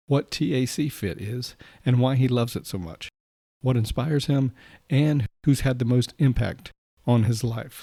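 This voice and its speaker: A man's voice: medium pitch, warm tone, dry recording, clean studio sound. A man hosting a podcast, reading a scripted intro and then chatting with his guest in a friendly way with fillers. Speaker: podcast host.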